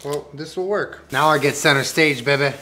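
A man's voice: fairly high pitch, moderate pace. A young man joking around as he cooks in his home kitchen, in casual, goofy banter. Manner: joking, playful, teasing. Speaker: vlogger cooking at home. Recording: roomy kitchen with music behind.

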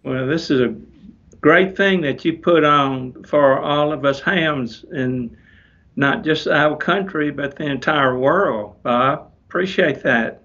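An elderly man with a bright, fairly high voice talks slowly as a guest. He is polite and a little halting, heard over a dull video call. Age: 70s or older. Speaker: company head, guest. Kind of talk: casual chat on a live show. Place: wood-panelled office, indoors. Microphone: tablet at arm's length on video call.

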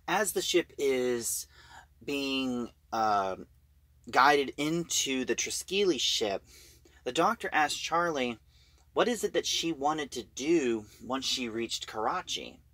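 A man giving an informal story recap as a reviewer, talking in a halting, conversational way. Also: medium pitch, animated, bright, forceful tone, no room sound. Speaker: audio drama reviewer.

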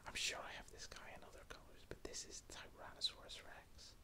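A man with a Northern Irish accent whispering close to the mic, rambling unhurriedly in a self-deprecating way as he sorts through toy figures. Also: brisk. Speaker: ASMR creator. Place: indoors at a table. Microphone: close mic with wind pops.

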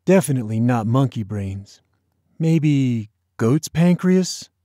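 A man's voice: mid-range voice, animated, takes it slowly, dark tone, dry recording, studio quality. A man voicing a bossy, mischievous, teasing boy in an audiobook, with a taunting, mock-dramatic manner. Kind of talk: audiobook character dialogue.

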